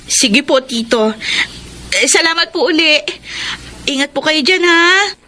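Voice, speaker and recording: A woman's voice: sits high, lively intonation, a little slow, sharp tone, slight background noise, full character voice. A woman playing a cheerful, affectionate young tour guide and devoted niece in a Filipino radio drama. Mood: warm, grateful. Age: young adult.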